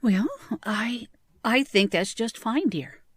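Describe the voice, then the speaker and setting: A woman's voice: a little high, takes it slowly, no room sound, studio quality. A woman playing a kindly, doting grandmother with a genteel, affectionate manner in an old-time radio drama. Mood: tender, polite.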